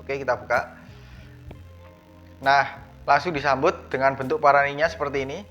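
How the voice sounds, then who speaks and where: A man's voice: mid-range voice, flat delivery, normal speed, fairly bright tone. A man narrating a product unboxing in a casual, conversational, explanatory way. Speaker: unboxing vlogger. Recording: dull lo-fi sound, music behind. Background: background music.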